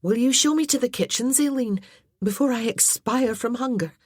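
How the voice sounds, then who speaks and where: A woman's voice: on the high side, normal speed, a little mellow, breathy edge, no echo, voice pushed up. A woman voicing a fierce young lady of the manor with a dry, teasing, grave way of speaking. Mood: wry.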